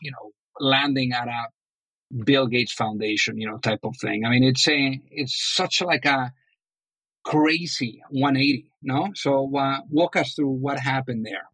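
A man's voice: medium pitch, a little slow, fairly bright tone, husky, no echo, low-quality recording. A man hosting a podcast interview, chatty and full of fillers. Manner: chatty, many fillers like "you know". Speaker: podcast host. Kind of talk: casual interview.

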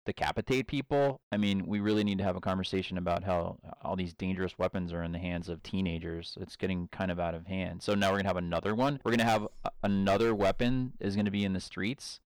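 Co-host, male, low voice, dry close sound, deadpan contrarian rambler. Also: quick pace, dry recording. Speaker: podcast co-host. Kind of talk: casual fan banter.

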